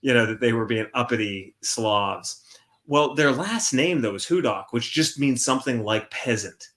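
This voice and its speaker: A man's voice: medium pitch, expressive, normal speed, fairly bright tone. An American man chatting casually as a stream guest, rambling and redirecting himself mid-thought. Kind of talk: casual chat.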